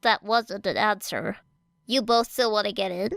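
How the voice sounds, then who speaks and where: A woman reading a fan audiobook, voicing a calm, kind teenage girl who speaks gently and matter-of-factly. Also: mid-range voice, moderate pace, gravelly, dry recording. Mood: curious.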